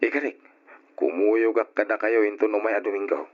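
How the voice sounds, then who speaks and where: A man playing an irresponsible, hard-drinking husband and father in an Ilocano radio drama, full of sheepish drawn-out excuses and interjections. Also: on the low side, fairly even intonation, natural voice. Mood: wheedling. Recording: muffled lo-fi old radio recording.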